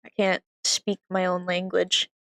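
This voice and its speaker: A woman's voice: lowish voice, monotone, measured pace, vocal fry, a bit bright, dry recording. A young woman streamer chatting casually while she eats and works, rambling with lots of "like" fillers. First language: French by heritage, English first language. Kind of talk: casual chat while eating and working.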